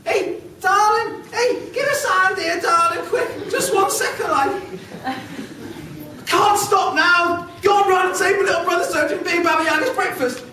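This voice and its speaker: Brief: squeaky, shouty pleading rat, put on by a male storyteller, live room. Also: moderate pace. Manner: begging, calls her darling, swears. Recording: echoey room from far off, noisy.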